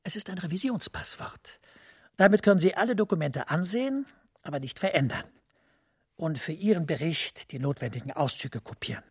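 Casting: middle-aged senior official, radio drama. Very raspy, breathy, creaky, measured, quietly authoritative, close and dry. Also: male voice, a little high, lively intonation, no room sound, exaggerated voice. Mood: discreet, controlled. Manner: precise, measured, confidential. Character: professor and senior EU official, composed, discreet, authoritative. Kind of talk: German radio drama acting.

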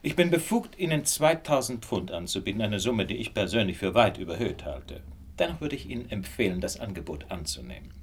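An actor playing a shrewd, commanding gentleman detective in a radio drama, dry and formally polite. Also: lowish voice, sing-song, fairly quick, slight background noise. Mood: firm.

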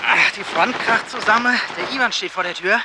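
An actor playing a gruff, weary German army comrade grumbling in a radio drama. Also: male voice, high voice, brisk. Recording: very loud vehicle noise behind. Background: vehicle noise.

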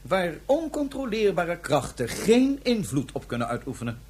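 An actor playing a power-hungry villain in a science-fiction radio drama, husky and imperious, with emphatic theatrical phrasing. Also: male voice, high voice, sing-song, normal speed, darkish tone, exaggerated voice. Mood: imperious, demanding. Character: demanding, power-hungry male villain ordering a powerful invention.

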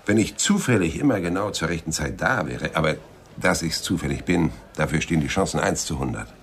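An actor playing a world-weary, wry private detective in a noir radio play, with a laconic, dry, gravelly delivery. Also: male voice, extremely low pitch, expressive, fairly quick, exaggerated voice. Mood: dry, skeptical. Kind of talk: hardboiled noir radio drama acting and narration. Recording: studio radio play with music underneath.

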